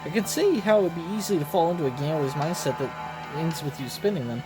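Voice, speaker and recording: A man's voice: on the high side, animated, fast, close to an everyday voice. A man voicing an earnest, cautious companion in a video game, speaking formally, with music behind. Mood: earnest, wary. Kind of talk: reading game story dialogue aloud.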